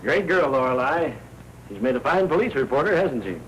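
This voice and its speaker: Mild, hemming older publisher played by a man, medium pitch, faintly noisy vintage film track. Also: very animated, brisk, lightly acted. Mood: genial. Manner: genial, hesitant, hemming. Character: mild, hesitant older male newspaper owner, doting uncle. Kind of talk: 1940s film acting. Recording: noisy 1940s film soundtrack.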